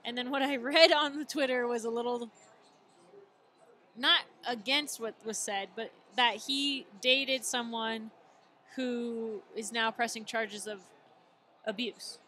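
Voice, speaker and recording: A woman co-hosting a casual sports show in English, her second language, playful and quick to push back. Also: on the high side, fairly even intonation, pushed and bright. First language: not English. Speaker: co-host.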